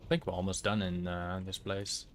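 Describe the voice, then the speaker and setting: Low-voiced Dutch man narrating gameplay. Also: flat delivery, slight background noise. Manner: halting, many fillers, searches for words. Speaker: streamer. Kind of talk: casual gameplay commentary.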